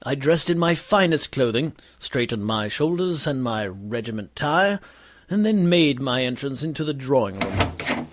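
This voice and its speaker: A man's voice: medium pitch, very animated, a little slow, a little hiss or hum. A man playing a grumpy, vain Victorian doctor who narrates his misadventures in a pompous, wordy way for an audio drama. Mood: wry, self-important. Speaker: narrator and lead character. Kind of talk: scripted first-person audio drama narration and dialogue.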